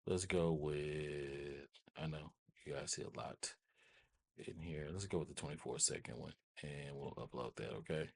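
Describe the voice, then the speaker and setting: A man hosting a casual software tutorial, thinking aloud informally and often repeating his phrases. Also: rumbling low, measured pace, creaky, slight background noise. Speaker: tutorial host.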